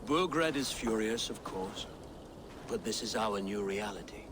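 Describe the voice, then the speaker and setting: An actor playing an earnest Saxon nobleman in a game cutscene, speaking in a measured, formal, worried manner. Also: fairly low pitch, lively intonation, background noise. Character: anxious, earnest Saxon nobleman and claimant king. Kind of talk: video game cutscene acting.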